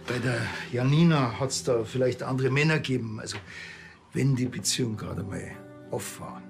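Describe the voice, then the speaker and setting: An actor playing a dry, tactless small-town policeman in a TV crime comedy, deadpan and laconic, with a Bavarian accent. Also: mid-range voice, normal speed, creaky, faint music behind, natural voice. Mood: dry, blunt.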